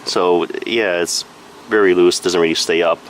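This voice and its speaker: A man with a fairly low voice talks through a gadget demonstration. He is matter-of-fact and explanatory, like a casual reviewer, with a noticeable steady hum behind him. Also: normal speed, poor audio. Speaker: product reviewer. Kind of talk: casual hands-on product review narration.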